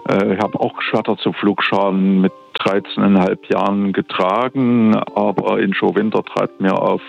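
A man's voice: fairly low pitch, little pitch movement, moderate pace, vocal fry. A man in his fifties from eastern Germany, recalling things thoughtfully in a telephone interview. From Dresden, eastern Germany. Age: 50s. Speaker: interview guest, church academy director. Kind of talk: spontaneous, reflective recollection in an interview. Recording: dull, band-limited telephone line.

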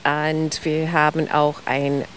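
A woman guest who explains eagerly and at length in an interview, often pausing mid-sentence to search for words. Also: low voice, level tone, slight background noise, rough recording. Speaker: guest expert on ice cream history.

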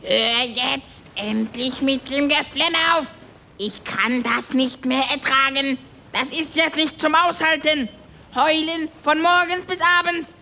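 A grumpy little ship's goblin from a seafaring audio tale, played by a woman. The voice sits very high with a sharp, forceful edge. He scolds and explains with exasperated emphasis, like the only one who knows what is going on. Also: unhurried. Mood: furious. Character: grumpy, furious little male ship's kobold, scolding know-it-all. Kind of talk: acted German audio story.

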